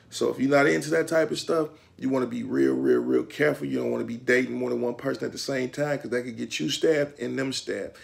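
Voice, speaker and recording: Gravelly Detroit man explaining to camera. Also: mid-range voice. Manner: streetwise, instructive, gestures a lot with his hands. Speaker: vlogger. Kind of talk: casual talk to camera. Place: small room indoors at home.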